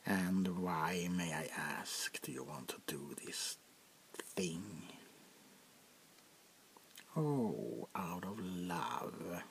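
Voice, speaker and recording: An aristocratic male vampire prince, played by a man speaks softly for an ASMR roleplay. His low, creaky voice swings expressively and often sinks to a whisper. He is languid, jaded and mockingly polite. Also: animated, extremely slow, pauses often, slight background noise, exaggerated voice. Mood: scornful. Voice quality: gravelly, often dropping to a whisper. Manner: languid, world-weary, mockingly courteous. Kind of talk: soft-spoken ASMR roleplay.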